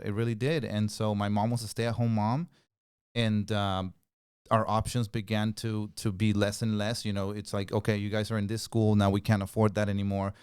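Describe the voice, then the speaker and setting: Man from Peru, native Spanish speaker, podcast guest, low smooth voice, dry close sound. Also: no echo. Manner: relaxed, conversational, mixes in Spanish phrases. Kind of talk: casual interview storytelling.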